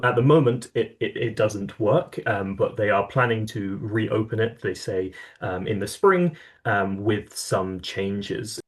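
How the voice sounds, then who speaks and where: A man, an advocacy coordinator, explaining policy in conversation, with many fillers and restarts. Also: on the low side.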